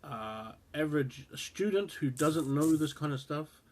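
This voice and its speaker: A man's voice: on the high side, expressive, unhurried, a little mellow. A man in his thirties with a New Zealand accent, giving his opinion in casual talk to the camera, rambling with frequent fillers and restarts. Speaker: rugby show host.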